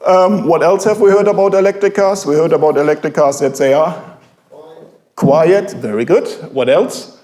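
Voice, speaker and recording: German accented professor chatting to a cafe crowd, lots of ums, mellow tone, echoey room. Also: male voice, a little high. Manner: halting, many fillers, engages audience. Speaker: guest speaker, professor. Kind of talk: informal public talk. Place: café venue with an audience.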